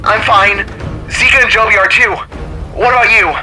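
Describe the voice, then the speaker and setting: A man's voice: sits high, steady tone, moderate pace, over music, pitched above the performer's own voice, natural voice, low-quality recording. A man voicing a nervous, out-of-breath young woman calling over the phone in an audio drama.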